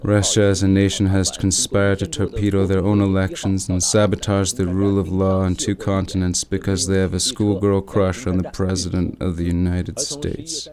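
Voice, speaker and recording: A man's voice: low-pitched, steady tone, moderate pace, hardly any pauses, crowd noise, lightly acted. An actor playing a stern, zealous government spokesman in an audio drama news clip, formal and declamatory. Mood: stern, accusing.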